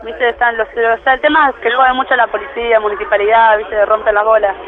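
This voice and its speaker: A man with a very high voice and a Buenos Aires accent gives a casual interview as a band musician. He talks in a relaxed, colloquial way. The sound is a thin, narrow telephone line. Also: brisk, noisy background. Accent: Buenos Aires Argentine. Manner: laid-back, colloquial. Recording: tinny, band-limited phone line.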